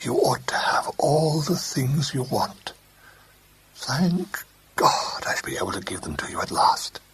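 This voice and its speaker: A man doing a quick, worried, harassed heir. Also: medium pitch, big pitch swings, a bit bright, slightly rough, voice pushed up, poor audio. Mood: tender whisper.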